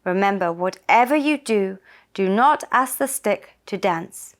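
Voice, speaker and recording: An earnest, trusting poor man from a storybook, acted out by a woman. He speaks plaintively and politely, in a gentle middle-register voice at an unhurried pace. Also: mid-range voice, expressive.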